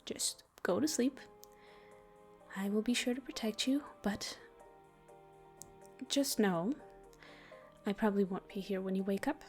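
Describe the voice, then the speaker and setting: A woman voicing a gentle, protective, teasing female demon in an ASMR comfort roleplay, speaking soothingly, intimately and unhurriedly, at times in a whisper. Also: mid-range voice, a little slow, long pauses, soft background music, lightly acted. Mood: hushed, protective.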